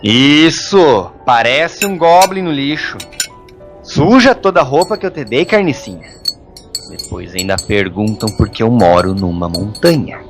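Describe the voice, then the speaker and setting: In this audio drama, a man plays a hairless hermit monk who is gruff and endlessly sarcastic. He grumbles and mocks in colloquial speech, at a medium pitch. Faint music sits behind the voice. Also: lively intonation, a little slow. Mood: mocking, gruff.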